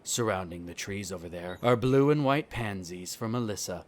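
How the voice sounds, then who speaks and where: Guy doing a snobby vampire noble, lowish voice, smug n formal, looks down on humans, audio drama. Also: normal speed, close to an everyday voice. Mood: haughty, instructive. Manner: haughty, disdainful, formal diction. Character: haughty aristocratic male vampire, smug and contemptuous. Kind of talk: roleplay audio drama acting.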